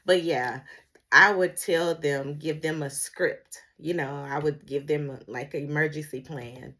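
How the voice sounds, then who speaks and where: A middle-aged woman with a low-pitched voice chats as if to her vlog viewers. Her delivery is informal and conversational, wandering from point to point and often pausing to search for a word. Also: steady tone, bright, forceful tone. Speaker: vlogger, former emergency dispatcher. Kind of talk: casual solo vlog chat.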